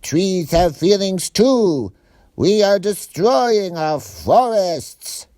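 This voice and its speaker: A man voicing a young male thief who pleads slowly and earnestly in a storybook reading. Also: sits high, animated, dark tone, no room sound, voice pushed up, exaggerated voice. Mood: pleading.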